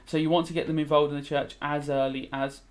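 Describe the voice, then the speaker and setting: A man's voice: on the high side, flat delivery, fast. A British man in his thirties, a teacher giving casual revision commentary while gaming, rambling and thinking aloud as he goes. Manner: rambling, thinking aloud, switching between explaining and musing. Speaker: religious studies teacher.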